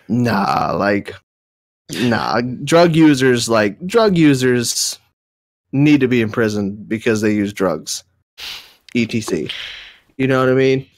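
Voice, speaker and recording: Young guy, casual rambling storyteller, cold-nasal, middle pitch, dry close sound. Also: measured pace, long pauses, no room sound. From Southern United States. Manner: rambling, self-correcting storytelling. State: recovering from a cold, a bit nasal. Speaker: podcast co-host. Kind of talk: casual chat.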